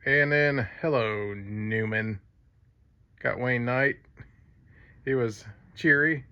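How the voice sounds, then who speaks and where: A man's voice: medium pitch, slow, clear, resonant tone, muffled recording. Chatty man narrating his con trip. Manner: chatty, rambling with fillers. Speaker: vlogger. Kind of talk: casual vlog narration.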